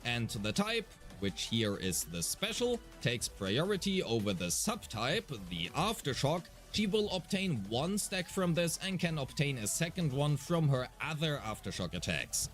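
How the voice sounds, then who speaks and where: A man's voice: a little high, very animated, unhurried, hardly any pauses, fairly bright tone, faint music behind. A man reading scripted explanatory narration as a game guide, detailed and methodical with a mildly wry touch.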